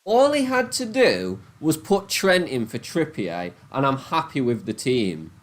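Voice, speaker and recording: Lively ranting man with a Nottingham accent. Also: medium pitch, unhurried. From Nottingham, England. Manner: animated, swearing, ranting. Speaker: livestreamer chatting with viewers. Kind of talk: casual live-stream chat.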